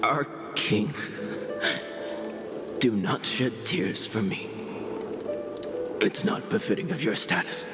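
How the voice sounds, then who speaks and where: A dying warrior speaks extremely slowly in a low, weakened voice. He is proud, loyal and tenderly resigned, in a fantasy game scene. The words echo in long reverb. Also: lively intonation. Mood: weak, tender farewell. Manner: weak, halting, drawn-out farewell speech. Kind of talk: dramatic video game acting. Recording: echoing reverb under loud music. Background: loud game music.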